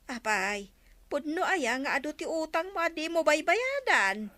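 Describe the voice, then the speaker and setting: A woman's voice: high voice, sing-song, unhurried, bright, forceful tone, dry recording, full character voice. A woman acting an older, teasing village auntie who gossips in a drawling, pointed way in an Ilocano radio comedy. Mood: needling.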